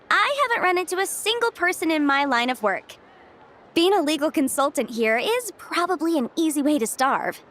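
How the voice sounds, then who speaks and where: Game role: brisk horned young lawyer girl, lively and high. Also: slight background noise, full character voice. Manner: brisk, chatty, flustered when dodging questions. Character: young woman legal consultant with adeptal blood and small horns, chatty. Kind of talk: video game character dialogue. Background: game music.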